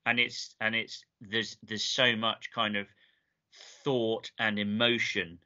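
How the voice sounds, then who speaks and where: A man's voice: lowish voice, level tone, slow pace, bright, forceful tone. A British man in his thirties interviewing conversationally over a video call, enthusiastic, often repeating phrases as he gathers his thought. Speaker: interviewer, school music staff. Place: indoors, room with bookshelves. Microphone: video call, computer mic. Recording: slightly dull video call audio.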